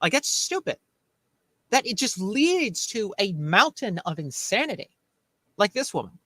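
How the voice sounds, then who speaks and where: A man's voice: sits high, very animated, measured pace, fairly bright tone, no echo. A man co-hosting a casual chat, reacting in an emphatic, exclamatory way.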